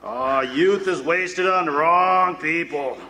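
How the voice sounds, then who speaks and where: An actor playing a grumpy older man who heckles, gruff and impatient, in a radio play. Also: on the high side, takes it slowly, bright, forceful tone, slight background noise, low-quality recording. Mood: grumbling.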